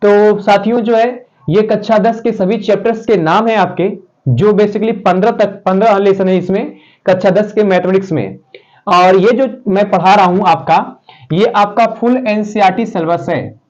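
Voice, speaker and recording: A male math teacher with a sore, slightly hoarse throat, talking students through a lesson in a chatty, repetitive way. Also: high-pitched, unhurried. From Uttar Pradesh. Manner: chatty, repetitive, addresses students warmly as "pyare saathiyon". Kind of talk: casual spoken math lesson. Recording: dull lo-fi sound.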